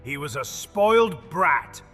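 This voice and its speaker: A man's voice: fairly high pitch, very animated, takes it slowly, fairly bright tone, soft background music, exaggerated voice. A man voicing a scheming male goblin who mocks and cackles, in an audio drama with long hall reverb. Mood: mocking. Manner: mocking, cackling.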